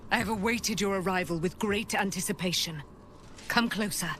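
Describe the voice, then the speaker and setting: A woman's voice: mid-range voice, normal speed, a bit bright, slight background noise, lightly acted. A woman playing a proud, devout holy warrior in a video game, formal and declamatory, as if proclaiming. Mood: triumphant.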